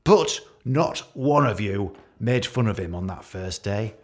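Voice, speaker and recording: A man's voice: on the low side, expressive, a little slow. A man playing an awkward, catty office boss with a British accent, giving a hesitant, rambling, jokey leaving speech in a radio play. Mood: fond, rambling. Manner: hesitant, repetitive, jokey with barbed asides.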